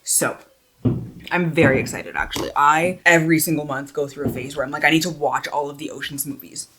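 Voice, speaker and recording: A woman's voice: deep voice, a little fast, fairly bright tone, slight background noise. A woman book vlogger chatting casually to viewers in an informal, self-deprecating way, sometimes sweary or reading blurbs aloud.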